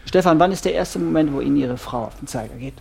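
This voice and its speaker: A man playing a blunt, methodical couples therapist in an audio drama, asking terse questions in a gravelly, husky voice. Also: on the high side, fast talker, a little mellow, slight background noise. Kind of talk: naturalistic improvised-sounding audio drama dialogue.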